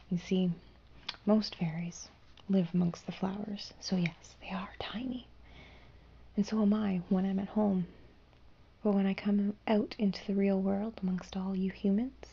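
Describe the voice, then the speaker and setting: A young woman voicing a gentle female fairy in human form, whispering softly and reassuringly. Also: mid-range voice, muffled recording. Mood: gentle, playful. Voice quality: breathy whisper. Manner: soft soothing whisper, reassuring and hushing. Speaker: ASMR roleplayer. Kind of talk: whispered ASMR roleplay.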